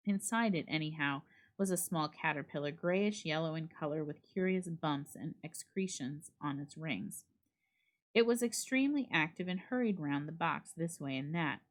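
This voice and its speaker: Woman reading a spooky old story out loud, voice a bit on the low side, measured but expressive. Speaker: library staff reader. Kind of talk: dramatic reading of a horror short story.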